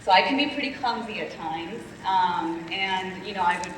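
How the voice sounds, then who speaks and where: A woman's voice: lowish voice, moderate pace, noisy background. A woman from Colombia, a panelist telling her story in a candid, reflective way with frequent ums. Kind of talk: panel discussion, personal story. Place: conference stage. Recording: echoey hall, distant mic.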